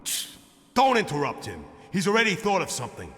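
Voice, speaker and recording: An actor playing an anxious, dramatic pantomime player in a video game, with theatrical delivery. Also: male voice, high voice, huge pitch swings, fairly quick, bright, forceful tone, exaggerated voice. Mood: urgent. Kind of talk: theatrical scripted game dialogue. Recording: long hall reverb.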